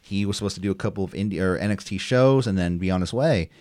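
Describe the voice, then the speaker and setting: A man's voice: low voice, quick pace, darkish tone, no echo. A man co-hosting a casual podcast, running through wrestling results from his notes with frequent ums and uhs. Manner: reads match notes, many ums and uhs. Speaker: podcast co-host recapping wrestling. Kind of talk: casual chat, play-by-play recap.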